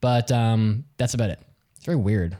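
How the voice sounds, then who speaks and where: A man with a deeper voice co-hosting a casual podcast, rambling and restarting his sentences. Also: normal speed, mellow. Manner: rambling, self-interrupting, restarts. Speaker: podcast co-host.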